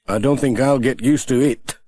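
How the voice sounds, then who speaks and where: A man's voice: mid-range voice, fairly even intonation, normal speed, warm tone, no echo, voice pushed up, natural voice. A man voicing a mild, doubtful village postman in a children's storybook, hesitant and wry.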